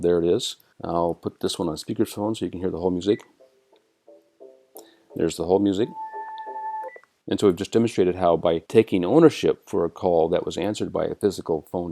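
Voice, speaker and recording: American man, dark low voice, tutorial narration, methodical step-by-step delivery. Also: gravelly. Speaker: software tutorial presenter. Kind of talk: instructional screencast narration.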